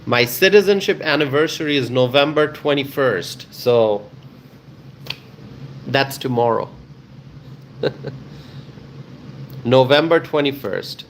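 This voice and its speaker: A man's voice: mid-range voice, slow pace, pauses often, slight background noise. Emphatic man chatting live with viewers. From Bangladesh. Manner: repeats phrases for emphasis. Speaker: livestream host answering viewer comments. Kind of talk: casual live chat with viewers.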